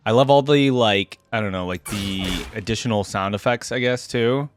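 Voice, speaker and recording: A man with a fairly low voice hosts a casual reaction video. He thinks aloud informally and exclaims often, while music plays clearly behind him. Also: normal speed. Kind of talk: casual live reaction commentary. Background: game music and sound effects.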